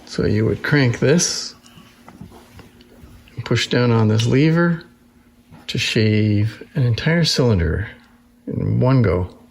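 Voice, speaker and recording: A man's voice: mid-range voice, animated, slow, long pauses. A man giving an informal hands-on demonstration as a presenter, explaining things as he goes. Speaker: presenter showing antique phonograph machines. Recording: roomy echo, clear background noise.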